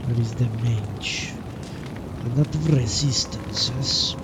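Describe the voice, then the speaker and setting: A man's voice: mid-range voice, takes it slowly, very noisy. A man doing casual live commentary on a game, musing and muttering to himself in short fragments as he thinks aloud. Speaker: live streamer playing a role-playing game.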